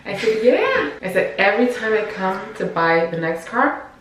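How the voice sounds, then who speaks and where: A woman's voice: medium pitch, very animated, moderate pace, background noise. A middle-aged woman telling personal stories casually and emphatically, acting out the dialogue as she goes. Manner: emphatic, acts out dialogue and sound effects. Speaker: guest storyteller, parent of the channel host. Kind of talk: animated casual personal storytelling. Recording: very echoey room, distant mic.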